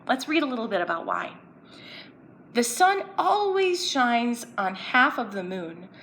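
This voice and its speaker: Female children's presenter, lively swooping pitch, playful question-and-answer teaching, echoey recording with some background noise. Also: unhurried. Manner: playful, asking young viewers simple questions and answering them. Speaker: children's story-time presenter. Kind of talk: children's educational story time, explaining and reading aloud. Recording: roomy echo, background noise.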